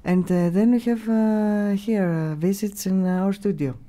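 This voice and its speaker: A middle-aged woman with a Greek accent, giving halting, unscripted interview answers as she searches for words. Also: medium pitch, steady tone, mellow. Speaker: radio station staff member, interviewee. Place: small room, radio studio. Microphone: studio mic on boom arm.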